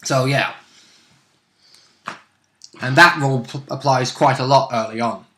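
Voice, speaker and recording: Man with a bright, echoey voice. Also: medium pitch, measured pace. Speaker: co-host discussing tabletop game rules. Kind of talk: casual chat, chiming in with clarifications. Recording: roomy, echoing room sound.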